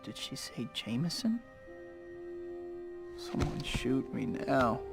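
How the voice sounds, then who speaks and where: A man's voice: mid-range voice, very animated, takes it slowly, vocal fry, music in the background, heavily acted. An actor playing a wisecracking young male superhero in a video game, wry, earnest and conversational. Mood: wry, musing. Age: young adult.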